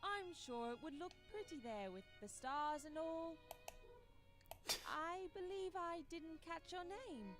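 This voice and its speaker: A woman acts a young visual novel heroine with a high, very expressive voice. She is polite and a little awkward, taking her time over each line, with prominent music behind her. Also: heavily acted. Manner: hesitant, polite, slow. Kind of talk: visual novel game voice acting. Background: game music.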